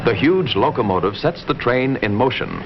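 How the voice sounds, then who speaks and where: A man narrating an old 1950s educational film from a script, in a measured, explanatory way with simple sentences, on an old film soundtrack. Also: mid-range voice, expressive, lo-fi sound, heavy background noise.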